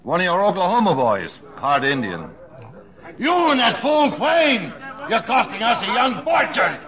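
High-pitched blustering movie producer. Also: male voice, wildly swooping pitch, a little slow, heavily acted. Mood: angry, exasperated. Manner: blustering, grumbling. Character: irascible movie producer, blustering, money-minded, grumpy. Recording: dull vintage radio recording.

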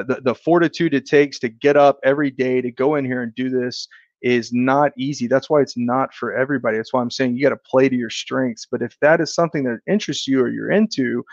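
A man's voice: mid-range voice, no room sound, highs cut off. A man in his thirties, a guest in a casual business interview, talking earnestly and reflectively about his career, with frequent restarts. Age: 30s. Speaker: insurance agency owner, guest.

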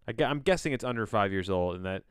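Rambling, incredulous man with a clean, smooth voice. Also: lowish voice, lively intonation, quick pace, no room sound, studio quality. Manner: rambling, incredulous, sardonic asides; casual swearing. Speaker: podcast host. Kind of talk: casual solo commentary while reading a plot synopsis aloud.

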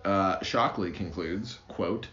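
A man's voice: low voice, slow, fairly bright tone, echoey room, muffled recording. A man co-hosting a casual history podcast, rambling with lots of fillers and restarts and casual swearing. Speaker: podcast co-host.

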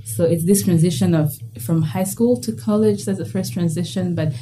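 A woman's voice: on the low side, moderate pace, warm tone, slight background noise, poor audio. A young woman student guest, speaking earnestly and a little hesitantly in casual conversation. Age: young adult. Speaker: international college student guest.

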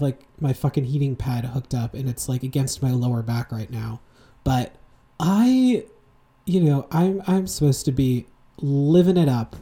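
A young man in his twenties co-hosting a chatty podcast from a room at home. His voice is dark and mellow, and he rambles through animated stories full of "like" and "you know". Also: mid-range voice. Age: 20s. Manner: rambling, animated storytelling with many fillers. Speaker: podcast co-host. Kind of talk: casual podcast chat. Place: home room, seated with a heating pad.

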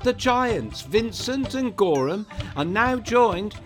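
Animated male children's storyteller over music. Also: high-pitched, takes it slowly. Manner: playful, theatrical, addressing young listeners directly. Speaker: storyteller and host of a children's bedtime podcast. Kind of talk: scripted children's story narration.

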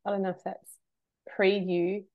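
A woman guest on a podcast, a trained marketer talking in an animated, emphatic way and laughing between points. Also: medium pitch, normal speed, phone-quality sound. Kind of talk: casual interview chat.

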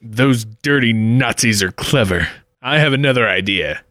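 An actor playing a brash, dim, melodramatic adventurer hero, in old radio serial style. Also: male voice, medium pitch, measured pace, noisy background, lightly acted. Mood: cocky. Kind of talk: parody 1940s radio serial acting.

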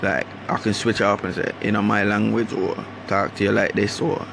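A man's voice: fairly low pitch, little pitch movement, moderate pace, vocal fry, background noise. A man from Guyana with a London accent that keeps Caribbean traces, talking casually and rambling as he hosts his own podcast. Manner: rambling, slangy, restarts often. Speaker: podcast host. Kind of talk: casual solo monologue.

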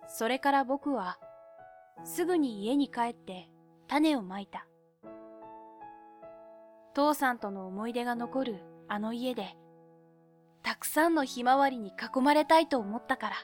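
A woman's voice: a little high, expressive, darkish tone, faint music behind. A woman voicing an earnest, determined boy who narrates his own tale wistfully in an audio drama. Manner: boyish, wistful, measured. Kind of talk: scripted first-person drama narration.

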